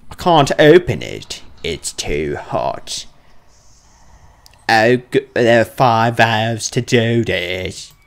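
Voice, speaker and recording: A male gamer thinks aloud through a horror game in a low, creaky voice. He talks slowly and grumbles under his breath, then breaks out in sudden exclamations. The delivery is casual and unscripted. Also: big pitch swings. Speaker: let's play gamer.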